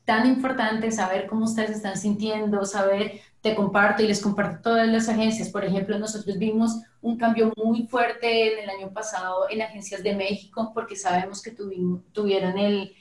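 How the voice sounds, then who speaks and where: A woman's voice: mid-range voice, level tone, normal speed, hardly any pauses. A woman hosting a business podcast interview, talking casually and often restarting her phrases, who says her Spanish has slipped because of Portuguese. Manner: warm-hearted, repeats herself, restarts phrases. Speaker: podcast host. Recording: echoey room.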